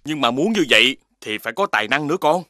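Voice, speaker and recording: A man voicing a patient father dog in a cartoon, calm and gently lecturing his son. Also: medium pitch, fast, dry recording, studio quality. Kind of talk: cartoon voice acting. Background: background music.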